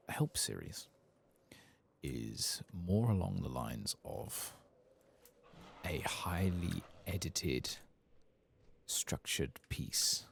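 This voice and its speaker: A soft-spoken man giving relaxed, musing ASMR commentary on a game playthrough, close to the mic and at times near a whisper. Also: gravelly, husky. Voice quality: soft, low-volume voice, sometimes dropping to a whisper. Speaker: gaming ASMR channel host. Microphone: close, dry microphone.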